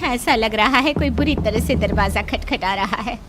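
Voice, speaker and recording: A woman's voice: fairly high pitch, moderate pace, over music, lightly acted. A woman playing a fearful, fretting village wife and mother in a dramatized story. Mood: worried. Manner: dramatic, fretful. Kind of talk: Hindi audio story acting.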